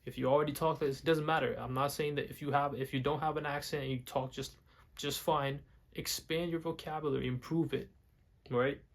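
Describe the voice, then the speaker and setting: A man's voice: fairly high pitch, fairly even intonation, fairly quick. A young man, not a native English speaker, talking casually to the camera for a vlog, often repeating words and restarting his sentences. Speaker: vlogger.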